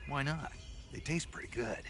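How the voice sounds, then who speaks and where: A man voicing a gruff, blunt soldier in a video game, terse and deadpan in delivery. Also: medium pitch, moderate pace, background noise, close to an everyday voice. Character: gruff male soldier, blunt, defensive, hungry survivalist.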